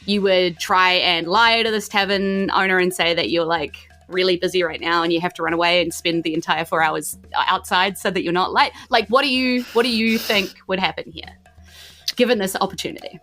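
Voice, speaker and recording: A woman's voice: fairly low pitch, fairly even intonation, brisk, sharp tone, faint music behind. A woman with a New Zealand accent running a tabletop game, narrating informally and putting questions to the players. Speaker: dungeon master of a tabletop game podcast. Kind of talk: improvised game narration, casual chat.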